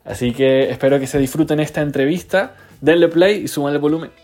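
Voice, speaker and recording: A man's voice: fairly high pitch, fairly even intonation, brisk, darkish tone. A man hosting a music podcast, with an upbeat, welcoming presenter's manner. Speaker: podcast host. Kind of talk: podcast interview.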